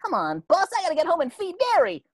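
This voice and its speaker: Woman as a wildly swooping, excitable cartoon sponge. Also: sits high, fast talker, no echo, studio quality. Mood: eager. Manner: theatrical, exclaiming, talking to self. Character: excitable, naive young male cartoon sea sponge. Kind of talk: amateur table read of a cartoon script.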